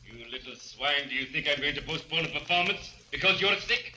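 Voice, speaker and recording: A man's voice: a little high, animated, fairly quick, bright, forceful tone, a little hiss or hum, rough recording. A man playing a cruel, domineering big showman, bullying and mock-polite, in 1940s radio villain style. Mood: menacing. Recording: old 1940s radio recording with music.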